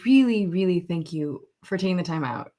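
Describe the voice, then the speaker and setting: A woman's voice: on the low side, lively intonation, normal speed, dark tone. A woman hosting a podcast interview in a casual, supportive and affirming way.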